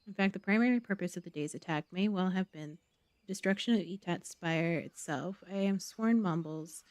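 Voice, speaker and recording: A woman's voice: fairly low pitch, moderate pace, a little mellow, no room sound. A woman casually commentating as she live-streams a game and reads out its quest text. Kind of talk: casual live gameplay commentary, reading quest dialogue aloud. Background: game music.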